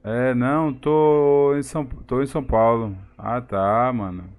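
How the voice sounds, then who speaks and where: A man's voice: mid-range voice, fairly even intonation, unhurried, darkish tone. A man with a Bahian accent from Salvador, chatting casually with viewers and greeting them by name during a live game stream. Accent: Bahian Brazilian Portuguese. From Salvador, Bahia, Brazil.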